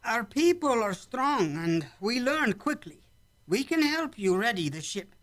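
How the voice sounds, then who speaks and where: A performer playing a calm, dignified villager of an alien cavern people in a radio drama, speaking with formal, measured phrasing. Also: mid-range voice. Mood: earnest, measured.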